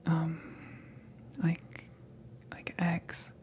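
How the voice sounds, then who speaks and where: A woman voicing a flustered, needy female alien crewmate in an ASMR roleplay, with halting, nervous, breathy whispers. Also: deep voice, completely flat delivery, a little mellow. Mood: flustered, hesitant.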